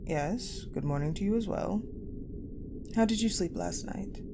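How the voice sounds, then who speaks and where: A woman playing a cold, guarded, possessive war general in a dramatic audio roleplay, speaking in a measured, haughty, pensive way with deliberate pauses. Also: mid-range voice. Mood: dry, guarded. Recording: clearly noisy, ambient sound bed.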